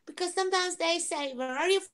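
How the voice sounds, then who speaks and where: A woman's voice: very high-pitched, fairly bright tone. A woman learning English summarizing a talk, choosing her words carefully in a discussion group. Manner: careful, deliberate speech of a non-native learner.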